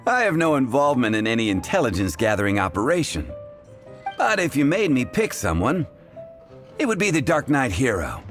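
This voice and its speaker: A man's voice: medium pitch, big pitch swings, brisk, faint music behind, natural voice. A man playing a wry, wordy, easygoing tavern character in a video game. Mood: coy.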